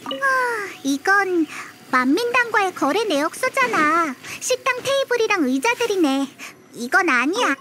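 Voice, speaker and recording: A woman voicing a small, perky, childlike fairy companion in an anime-style game, squeaky, eager and blunt. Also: big pitch swings, measured pace, hardly any pauses, heavy background noise, full character voice. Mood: busy, excited. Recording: music behind the voice.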